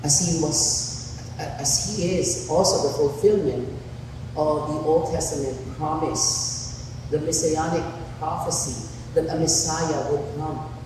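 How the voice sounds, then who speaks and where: A man preaching a sermon as pastor, with a husky, hoarse voice, deliberately laying out numbered points and sometimes restarting a phrase. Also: a little high. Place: large church hall. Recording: echoey church hall from far off, faint noise.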